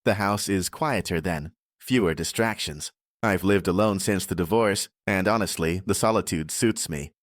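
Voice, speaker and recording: A man narrating a scripted first-person horror audiobook in a measured, deliberate way. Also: sits low, dry recording, studio quality.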